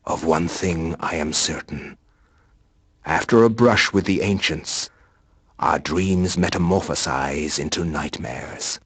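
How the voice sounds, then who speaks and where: Casting: learned, haunted male narrator, raspy, solemn, dry studio-like game voice-over. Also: very deep voice, fairly bright tone, dry recording, full character voice. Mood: grave, brooding. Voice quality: husky. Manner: deliberate, scholarly. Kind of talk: scripted video game narration.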